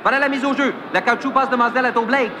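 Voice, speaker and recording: 1940s radio hockey commentator, Quebec French accent, excited, high voice, heavy background noise, old broadcast sound. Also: male voice, fast. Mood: brisk, urgent. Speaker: sports commentator.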